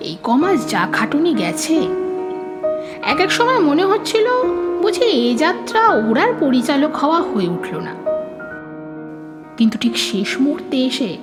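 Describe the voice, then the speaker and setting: A woman's voice: sits high, big pitch swings, normal speed, long pauses. A woman narrating a scripted horror story in a dramatic storytelling style. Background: prominent background music.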